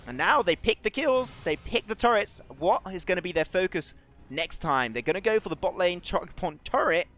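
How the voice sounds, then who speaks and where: A male esports caster giving excitable play-by-play commentary with a hoarse, fading voice. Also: sits high. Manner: excitable, rapid play-calling. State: hoarse, losing his voice.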